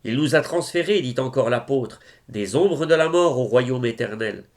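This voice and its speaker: A man reciting devotional poetry in a solemn style, with measured, reverent phrasing. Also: medium pitch, animated. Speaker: reader of religious poetry.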